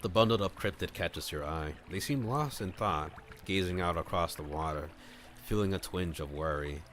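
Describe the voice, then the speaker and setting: A man on a livestream reading a visual novel aloud, mixing scripted narration with casual asides where he thinks out loud. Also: deep voice, moderate pace, a little hiss or hum. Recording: music playing behind the voice. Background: clear background music.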